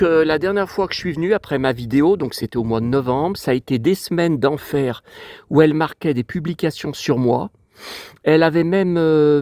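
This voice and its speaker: A man's voice: on the high side, a little mellow. Man with a stuffy nose, rambling. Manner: rambling, aggrieved and digressive. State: stuffy nose from a cold, sniffling. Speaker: vlogger. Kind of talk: casual rambling vlog chat. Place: outdoors in a cemetery.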